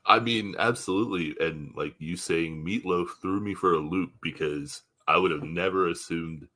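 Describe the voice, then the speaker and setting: An American man hosting a podcast, laid-back and quick to laugh, reading out viewer comments in casual chat. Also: deep voice, sharp tone. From United States. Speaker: podcast host.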